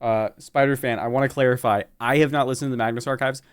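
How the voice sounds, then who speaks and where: A man's voice: mid-range voice, steady tone, fast talker, smooth. A man bantering casually on a livestream, with a teasing, joking manner. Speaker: online show panelist.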